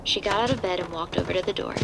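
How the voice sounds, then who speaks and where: Lady narrating a horror audio drama, gravelly fry in her voice, noticeable noise behind her. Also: lowish voice, racing. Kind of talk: scripted narration of a horror story.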